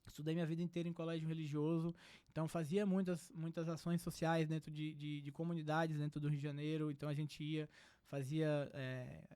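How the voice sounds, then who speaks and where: A young man from Brazil talks as a podcast guest, earnest and reflective. His voice sits high for a man and the words come quickly. The studio sound is dry and close. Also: flat delivery, dark tone, clear, resonant tone, dry recording. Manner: earnest, reflective, many filler "né". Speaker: psychiatrist guest. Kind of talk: casual podcast conversation.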